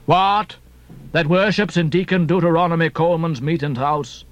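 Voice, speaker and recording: A man voicing a stern, pious old Quaker ship captain with a hollow voice and slow, preachy, archaic speech. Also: fairly high pitch, fairly even intonation, dull audio, close to an everyday voice. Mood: stern, suspicious.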